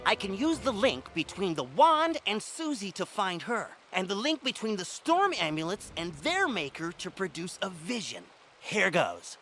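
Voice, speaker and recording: A theatrical, condescending wizard scholar from a fantasy game. His high, bright voice swings widely and sounds sure of itself, with faint music behind. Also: big pitch swings, moderate pace, heavily acted. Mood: confident, intent. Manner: condescending, dramatic. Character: self-assured, theatrical male wizard scholar. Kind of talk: fantasy game character dialogue.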